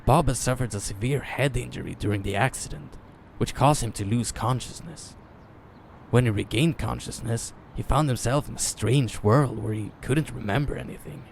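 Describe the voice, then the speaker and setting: A man narrating a story as a dramatic reading, theatrical in manner with deliberate pauses. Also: mid-range voice, gravelly, slight background noise.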